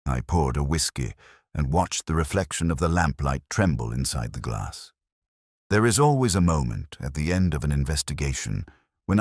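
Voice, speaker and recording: A man narrating a mystery drama as a brooding, reflective detective inspector, in a measured, solemn and literary delivery. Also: deep voice, no echo, clean studio sound. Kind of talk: scripted first-person mystery narration.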